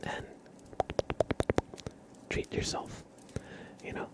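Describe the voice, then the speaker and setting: Male ASMR host: low, hushed whisper, very slow pacing, gentle direct address. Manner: gentle, unhurried delivery addressing listeners directly.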